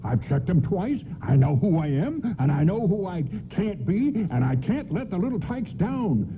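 An actor playing a weary, wisecracking department store Santa in a radio play, grumbling and jokey. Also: male voice, sits high, big pitch swings, moderate pace. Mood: weary, joking. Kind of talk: old-time radio play acting. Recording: tinny, band-limited radio broadcast, noisy.

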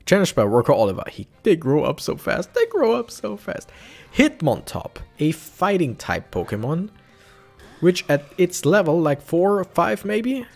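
A young man chats through his playthrough, animated and self-correcting. His voice sits a little high and swings widely in pitch. Faint game music plays behind him. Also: moderate pace, gravelly. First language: German. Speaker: let's play streamer. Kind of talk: casual gameplay commentary.

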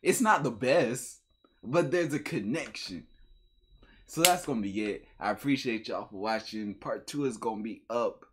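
A man's voice: medium pitch, moderate pace, fairly bright tone, husky. A young American man casually reacting in slangy, repetitive commentary, chuckling as he goes. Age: young adult. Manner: slangy, repeats himself, chuckling. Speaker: reaction video host. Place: small room at home, indoors.

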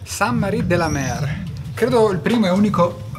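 A man's voice: high-pitched, expressive, normal speed, over music. A young man chatting casually as a travel vlogger, filming himself at arm's length outdoors in a seaside town, self-mocking and chatty. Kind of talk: casual travel vlog chat. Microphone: camera held at arm's length.

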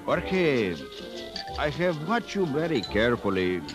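An actor playing a friendly, earnest workman and secret rebel in a 1950s radio drama, coaxing and deliberate. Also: on the high side, sing-song, over loud music. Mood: earnest, secretive.

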